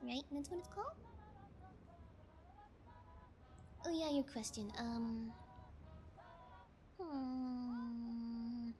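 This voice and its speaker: A woman performs a playful, sociopathic female kidnapper with a high voice. She speaks slowly and intimately, teasing her captive with sing-song menace in an ASMR audio drama. Faint noise and ambience sit behind the voice. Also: dark tone. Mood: gloating.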